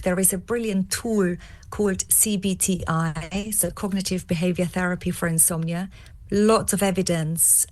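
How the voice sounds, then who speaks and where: A woman with an Austrian German accent, a guest in an interview, explaining things in a keen, informative way. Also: fairly low pitch. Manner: enthusiastic, explanatory, fond of "brilliant". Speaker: guest, founder of a support organisation.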